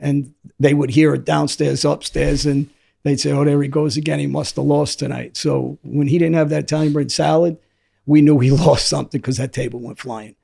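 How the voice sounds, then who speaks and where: Gravelly hoarse New man. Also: fairly high pitch, steady tone, normal speed, dark tone. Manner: rambling, repeats words and restarts often. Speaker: interview guest. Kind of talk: candid storytelling.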